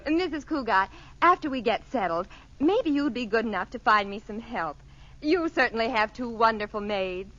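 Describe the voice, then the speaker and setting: Sweet young neighbor lady, old radio comedy. High, animated, effusively polite; muffled broadcast. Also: unhurried, a little hiss or hum. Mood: chatty. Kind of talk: 1940s radio sitcom acting.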